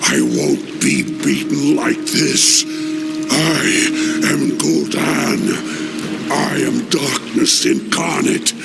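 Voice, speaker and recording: An actor playing a ruthless, power-hungry warlock in a fantasy video game, growling with menacing, imperious theatricality. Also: male voice, mid-range voice, huge pitch swings, extremely slow, loud music behind the voice, exaggerated voice. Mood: dying, desperate. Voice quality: gravelly, growling. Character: sinister, ruthless, power-hungry old warlock, raging and contemptuous.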